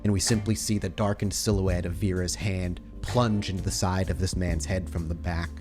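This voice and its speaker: A man's voice: deep voice, fairly even intonation, moderate pace, music in the background. A man running a tabletop horror game as storyteller, narrating in a descriptive, cinematic way.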